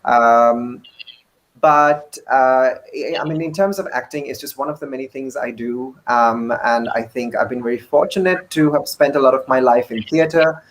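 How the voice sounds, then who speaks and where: A man speaking as an actor on a panel, measured and thoughtful. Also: medium pitch, low-quality recording. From Sri Lanka. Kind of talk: reflective interview talk.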